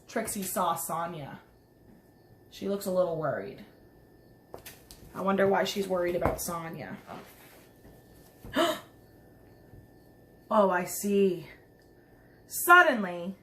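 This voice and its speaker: A woman's voice: medium pitch, fairly bright tone. A woman preschool teacher reading a picture book aloud to children, expressively, with chatty asides and simple explanations. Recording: roomy, echoing sound.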